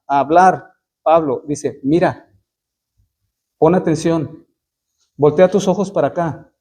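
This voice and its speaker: A man preaching a Bible sermon, reading verses slowly and explaining them in plain words, often stopping to repeat and restart words. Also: sits high, warm tone. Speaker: Baptist preacher.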